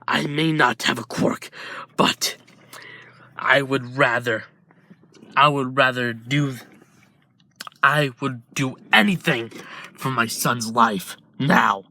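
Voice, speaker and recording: A man voicing an angry, grieving father who shouts in fury. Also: medium pitch, slow pace, pauses often, no echo. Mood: furious. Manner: shouting, furious.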